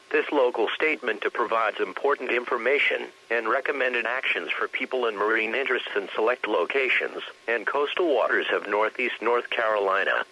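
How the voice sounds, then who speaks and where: A man's voice: medium pitch, sharp tone, rough recording. A synthetic male voice reading a scripted weather warning bulletin over the radio in a stiff, formal way. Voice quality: synthetic text-to-speech voice. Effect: radio broadcast.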